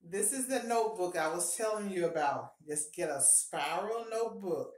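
A woman's voice: lowish voice, animated, moderate pace, darkish tone. A middle-aged woman giving upbeat, encouraging budgeting advice to camera in a casual home vlog, often repeating her key points. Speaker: personal finance vlogger. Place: small home bathroom, indoors. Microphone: fixed camera at close range. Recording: echoey small bathroom.

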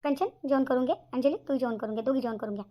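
A woman's voice: high-pitched, very fast, highs cut off, close to an everyday voice. A woman voicing a fussy, nagging middle-aged village mother in a cartoon, repeating herself in a rural Maharashtrian accent. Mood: fussing, chiding. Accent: rural Maharashtrian Marathi. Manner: nagging, repetitive. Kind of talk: cartoon comedy dialogue.

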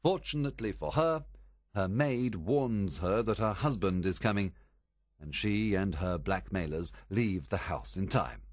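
A man reading a story aloud as a calm, reasoning detective, explaining a theory in a measured way. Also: lowish voice, no room sound, clean studio sound.

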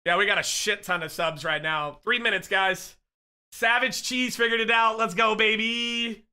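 A man in his thirties streams from home on a headset, shouting excited, profane greetings to his viewers. His voice is high and very bright and forceful, though it is wearing thin as he gets sick. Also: moderate pace, clear, resonant tone. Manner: loud, excitable, profane shout-outs to chat. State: losing his voice, getting sick. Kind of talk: casual live-stream chat.

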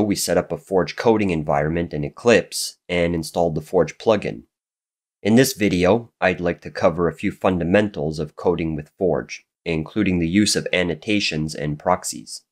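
A man with a very low voice walks through a coding tutorial as a voice-over. A cold leaves him a little raspy and nasal, and he explains each step in a steady, methodical way. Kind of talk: screen-recorded programming tutorial narration.

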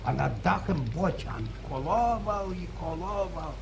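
Older superstitious village grandfather, gravelly and slow, noisy vintage radio-play recording. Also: sits high, animated, exaggerated voice. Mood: stubborn. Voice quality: gravelly, halting restarts. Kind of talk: 1950s radio drama acting.

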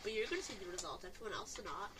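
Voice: mid-range voice, expressive, rapid-fire, a bit bright. A female co-commentator chatting casually during a game, often questioning and interjecting. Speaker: gaming co-commentator. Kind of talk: casual gaming chat. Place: indoor room, filming a TV screen. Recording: noisy room recording with clicking.

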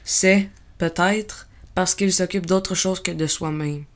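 A woman voicing a gentle, curious little boy prince in a storybook dramatization, earnest and innocent. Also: lowish voice, fairly even intonation, takes it slowly. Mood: wistful, thoughtful.

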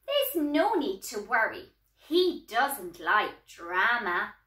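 A woman voicing a bossy, reassuring female owl from a children's storybook, with firm, drawn-out words. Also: a little high, very animated, bright, forceful tone, voice pushed down, exaggerated voice. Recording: echoey small room.

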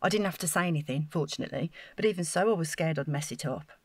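A woman's voice: low voice, fast, dry recording. An actress playing an earnest, naive council housing officer in an audio drama, chatty and flustered as she over-explains. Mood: eager, naive.

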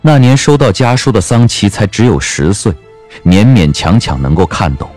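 A man narrating a scripted audio drama as an expressive storyteller. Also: fairly low pitch, normal speed, faint music behind.

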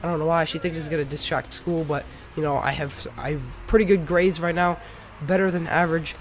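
A teenage male, slightly sick, giving casual, rambling and halting gameplay commentary as host of a gaming video. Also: on the high side, fairly even intonation, slight background noise. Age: teenager, about 15. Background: music.

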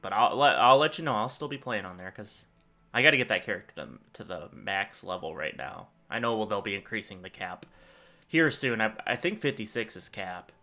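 A man's voice: on the low side, brisk. A young man hosting a gaming channel, thinking aloud in rambling casual commentary while he plays, recorded at a desk in a small room at home. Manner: rambling, thinking aloud, lively swings in loudness.

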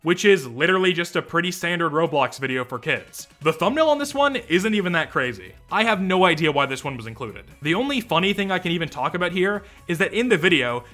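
A young man delivers sarcastic commentary in a bright, highly animated voice. He sounds exasperated and snarky, swears casually, and has faint music underneath. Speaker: YouTube commentator. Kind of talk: sarcastic video commentary with ranking verdicts.

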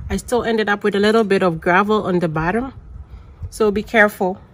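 A woman's voice: mid-range voice, brisk, fairly bright tone. A woman with a Ghanaian accent narrating a home cooking tutorial in a chatty, explanatory way. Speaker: home cook hosting a cooking channel. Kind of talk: instructional cooking narration, conversational.

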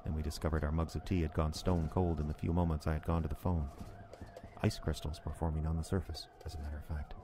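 A man's voice: extremely low pitch, flat delivery, quick pace. An actor playing an uneasy working man who narrates his own snowbound horror story in a dramatic, brooding way. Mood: hushed unease. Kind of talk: first-person radio horror narration. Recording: noisy storm ambience under the voice.

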